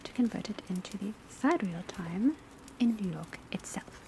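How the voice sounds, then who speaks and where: Witch academy professor female performer, ASMR roleplay. Hushed, breathy, dark-toned whisper, precise and instructive, faint ambient noise behind. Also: mid-range voice, normal speed, natural voice. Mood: patient, instructive. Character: patient, scholarly witch school divination professor. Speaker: teacher in a classroom roleplay. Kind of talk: soft ASMR roleplay lecture. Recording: soft steady background ambience noise. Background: crackling fireplace, pencil writing, rain on rooftop.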